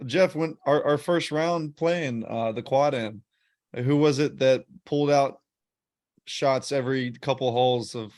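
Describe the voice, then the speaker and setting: A man's voice: mid-range voice, unhurried, darkish tone, no room sound, studio quality. A man co-hosting a podcast, joking and playful as he chats with the guest. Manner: joking and playful, laughs along. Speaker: podcast co-host. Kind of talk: casual podcast chat.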